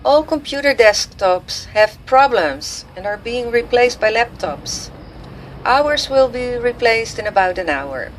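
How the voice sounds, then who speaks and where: Here is a woman acting as a cocky male office buddy in a radio play. He talks in a breezy, teasing way at a raised pitch, with faint noise behind. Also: lively intonation, measured pace, poor audio. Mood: informing.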